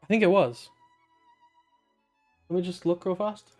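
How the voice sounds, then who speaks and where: A man's voice: high-pitched, a little slow. A young man giving casual, wry playthrough commentary as a gaming video host, with joking asides. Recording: soft game music behind the voice.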